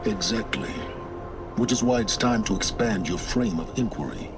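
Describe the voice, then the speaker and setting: An actor playing an aloof, impatient middle-aged scholar of ruins in a video game, measured and condescending. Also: male voice, lowish voice, over music, lightly acted. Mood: grave, explaining. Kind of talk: dramatic video game acting.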